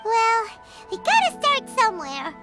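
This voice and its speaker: A tiny, eager fairy sidekick from a video game. The voice is extremely high, squeaky and childlike, with a cutesy, exclamatory bounce that leaps up and down. Music plays clearly behind it. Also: female voice, huge pitch swings, unhurried, exaggerated voice. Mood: weary, determined. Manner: cutesy, exclamatory, refers to self in third person. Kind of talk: video game character acting.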